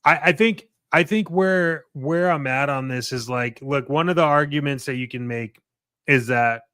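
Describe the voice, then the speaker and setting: Bright, clear-voiced man chatting casually. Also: a little high, normal speed, no room sound, clean studio sound. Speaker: podcast co-host discussing collectible toys. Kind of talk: casual unscripted chat.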